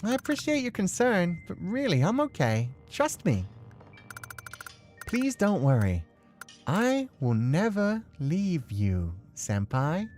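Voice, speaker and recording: A man voicing a visual novel character, an eager-to-please, polite younger man with a quietly unsettling sweetness. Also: high-pitched, huge pitch swings, takes it slowly, faint music behind, voice pushed up, heavily acted. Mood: reassuring.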